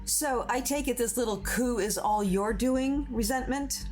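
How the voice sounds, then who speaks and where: A woman's voice: on the high side, a little slow, a little mellow, natural voice. A woman playing a lonely, wistful moon figure in an audio drama, conversational and plaintive. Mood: wary. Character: lonely, wistful personified Moon, gently sisterly. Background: music with plucked guitar.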